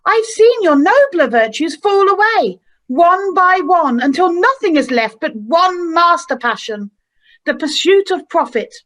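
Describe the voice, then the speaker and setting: A woman playing a heartbroken young fiancée, earnest and gently reproachful, in an amateur radio play. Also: extremely high pitch, expressive, a little slow, full character voice, poor audio. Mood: sorrowful reproach. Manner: earnest, sorrowful.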